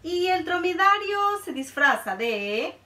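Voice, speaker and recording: A woman in her thirties reading a picture book aloud to children in a playful, expressive way, in a small room. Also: very high-pitched, measured pace, reverberant, distant mic. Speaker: children's librarian. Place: small room indoors, before a board.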